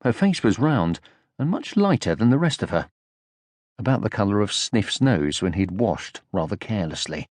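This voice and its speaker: Highly animated male storybook narrator, dry sound. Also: normal speed, no echo. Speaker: audiobook narrator. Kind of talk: scripted children's story narration, expressive.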